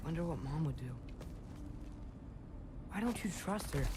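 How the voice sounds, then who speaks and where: A young man playing an earnest, headstrong teenage boy adventurer in a video game, stubborn and quick to justify himself. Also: fairly high pitch, animated. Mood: musing. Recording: music behind the voice.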